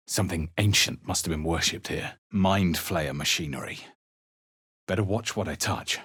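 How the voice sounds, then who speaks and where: A man voicing a brooding, wry fantasy adventurer in a video game, measured and dramatic with a husky voice. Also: low-pitched, fairly bright tone, dry recording, studio quality, heavily acted. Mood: wry, pondering. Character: male fantasy adventurer hero, brooding, wry, casting spells. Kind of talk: video game voice lines and narration.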